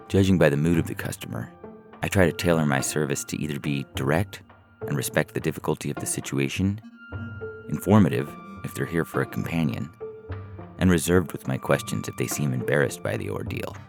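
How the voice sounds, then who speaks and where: A man's voice: rumbling low, vocal fry. A man reading a short science fiction story as scripted narration. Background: music under the reading.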